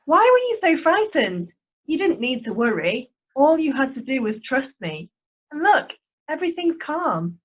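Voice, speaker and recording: Calm, gentle teacher Jesus read by a woman; tinny audio. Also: high voice, normal speed. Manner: gentle, reassuring. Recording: tinny phone-like line.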